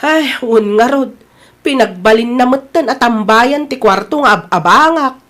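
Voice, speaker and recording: An actress with an Ilocano Filipino accent playing a hardworking, exasperated older sister in an audio drama, scolding and complaining. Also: female voice, fairly high pitch, animated, a little slow, a bit bright. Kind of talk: colloquial audio drama acting.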